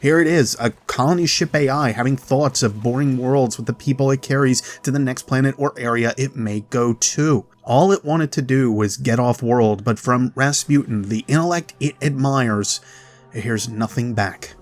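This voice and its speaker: A man hosting a game lore video, delivering narrated commentary directly to viewers with dramatic pauses. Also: mid-range voice. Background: background music.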